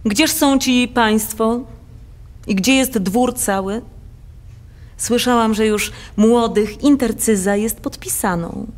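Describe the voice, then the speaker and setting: An actress playing a haughty, romantic countess in a verse radio play. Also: female voice, on the high side, slow pace. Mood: lofty. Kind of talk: theatrical radio drama in verse.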